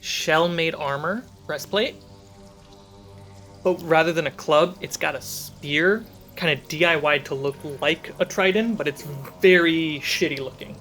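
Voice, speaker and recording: A man narrating a tabletop fantasy game as game master, describing scenes in short measured phrases. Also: fairly high pitch, sharp tone, soft background music.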